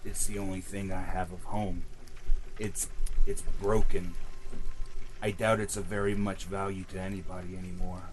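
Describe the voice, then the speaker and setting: A man voicing a quiet, guarded wanderer in a tabletop game, measured and deliberate. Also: deep voice, little pitch movement, slight background noise, lightly acted, poor audio. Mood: wistful.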